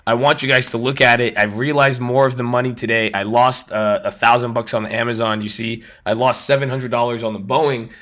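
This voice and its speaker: A raspy-voiced man hosts a casual trading walkthrough, recovering from being sick. His tone is bright and forceful despite the rasp. He rambles, restarts and repeats phrases as he explains. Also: medium pitch, fairly even intonation, moderate pace. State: recovering from illness. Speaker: trading channel host. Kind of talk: casual screen-share explanation.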